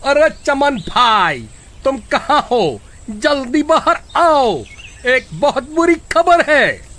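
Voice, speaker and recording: A man's voice: very high voice, very animated, slow, sharp tone, full character voice. An actor playing an anxious, easily alarmed village man in a horror cartoon, fretful and full of exclamations. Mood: anxious, urgent. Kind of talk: Hindi horror cartoon voice acting.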